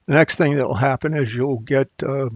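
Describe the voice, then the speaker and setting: A man presenting an unscripted technical screencast tutorial, thinking aloud in a halting way as he goes. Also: medium pitch, no echo, muffled recording. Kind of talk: unscripted software screencast walkthrough.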